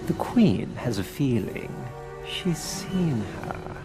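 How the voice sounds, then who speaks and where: An actor playing a menacing, sardonic henchman of a tyrant queen in a video game, deliberate and threatening. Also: mid-range voice, very animated, over music. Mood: sneering menace. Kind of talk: video game cutscene acting.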